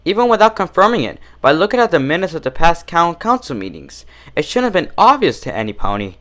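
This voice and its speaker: A proper, official cartoon pony mayor, acted out by a man. She speaks formally and carefully, somewhat raised in pitch, with varied intonation. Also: big pitch swings, fast talker, pushed and bright. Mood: matter-of-fact.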